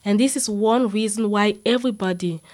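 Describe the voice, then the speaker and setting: A woman's voice: mid-range voice, a little fast, a little mellow. A woman co-hosting a podcast, presenting prepared points in a scripted discussion. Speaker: podcast co-host.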